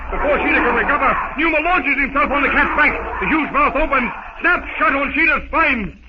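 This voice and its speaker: A man's voice: very high-pitched, fairly quick, over a noisy crowd. A man narrating a 1930s radio adventure serial in an intense, theatrical style. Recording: dull, very noisy 1930s radio recording.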